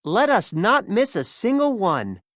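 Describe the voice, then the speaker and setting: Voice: mid-range voice, very animated, measured pace, fairly bright tone, dry recording, clean studio sound, pitched above the performer's own voice. A storybook narrator voicing a spirited young girl with an earnest, emphatic manner. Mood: determined.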